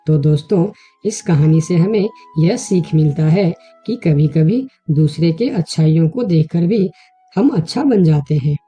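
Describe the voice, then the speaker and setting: A woman's voice: low voice, animated, a little slow, mellow, husky, soft background music. A woman giving scripted narration of a children's story with a moral.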